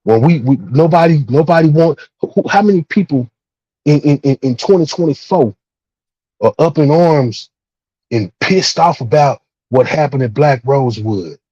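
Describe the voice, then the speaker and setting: A man talking casually and profanely in a discussion, emphatic, repeating himself and halting as he builds his point. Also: fairly high pitch, expressive, dark tone, breathy edge. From Texas, United States. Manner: emphatic, repetitive, halting phrasing. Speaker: discussion participant. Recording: dull, roomy, muffled lo-fi audio.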